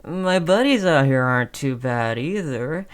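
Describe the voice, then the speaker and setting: Woman playing a low-voiced, slow-talking chummy zombie. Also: lively intonation, clear, resonant tone. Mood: cajoling, reasoning. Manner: falsely chummy, coaxing, conversational with hesitations. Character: friendly-acting female zombie coaxing prey, sly and chummy. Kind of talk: audio roleplay acting.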